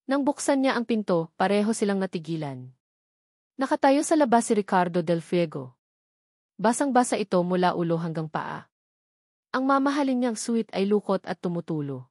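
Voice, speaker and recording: A woman's voice: medium pitch, animated, moderate pace, a little mellow, no echo, clean studio sound. A woman with a Filipino accent reading a scripted romance story as a dramatic narrator.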